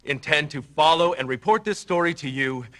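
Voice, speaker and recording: An actor playing a local TV news anchor, reading a scripted report in a formal, measured way. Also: male voice, fairly high pitch, fairly bright tone, close to an everyday voice. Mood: solemn, formal. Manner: formal, measured newsreader delivery.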